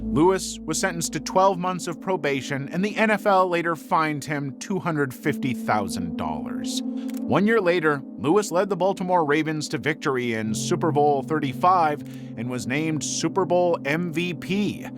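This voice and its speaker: Man, true-crime documentary narrator, scripted read, slightly high pitch, lively intonation, music underneath. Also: moderate pace.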